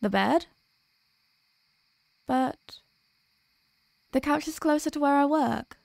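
A woman playing a sweet, sleepy workaholic girlfriend in an ASMR audio roleplay, speaking softly and hesitantly with affection. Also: sits high, slow pace, gravelly, dry recording, heavily acted. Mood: sleepy, giving in.

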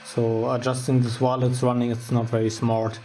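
A man giving a casual, unscripted electronics demonstration, halting and informal as he thinks aloud. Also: mid-range voice, completely flat delivery, mellow, a little hiss or hum. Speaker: host of a DIY electronics channel. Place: indoors at a wooden workbench.

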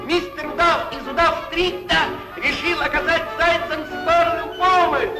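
A man's voice: very high voice, fairly even intonation, a little slow. A man reading mock newspaper announcements in a declamatory announcer style. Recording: old 1940s film soundtrack with music.

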